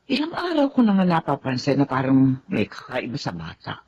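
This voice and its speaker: A woman acting a worried, caring grandmother in a Filipino melodrama, with a motherly, expressive manner. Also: extremely low pitch, a little fast, muffled recording, a little hiss or hum, exaggerated voice. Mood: concerned.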